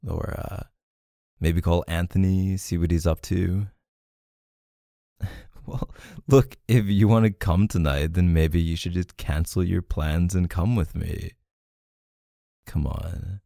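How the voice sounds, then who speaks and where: A man's voice: low voice, steady tone, slow pace, creaky, long pauses, dark tone, no echo, studio quality, full character voice. A man playing a possessive, sulky, protective young boyfriend in an intimate ASMR roleplay, murmuring hesitantly. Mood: playful sulking. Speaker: roleplay voice actor.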